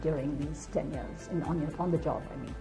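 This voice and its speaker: A woman's voice: low-pitched, brisk, gravelly, warm tone, soft background music, rough recording. A woman asking questions as an interviewer.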